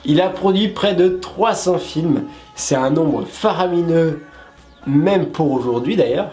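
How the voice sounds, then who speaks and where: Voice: mid-range voice, lively intonation, a little slow, warm tone, faint music behind. A co-host of a web show explaining things casually, with playful asides to the viewers.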